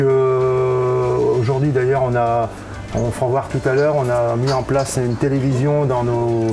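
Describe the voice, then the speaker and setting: A man in his fifties explaining things casually in an interview as a business owner, often hesitating and searching for words. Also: mid-range voice. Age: 50s. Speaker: hotel and bar owner. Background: music.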